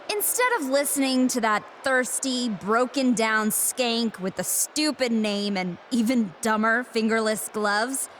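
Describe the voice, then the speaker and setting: A woman's voice: fairly high pitch, a bit bright, a little hiss or hum. A woman playing an arrogant, condescending villainous wrestling champion in a video game. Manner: smug, condescending, boastful. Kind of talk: scripted video game cutscene acting.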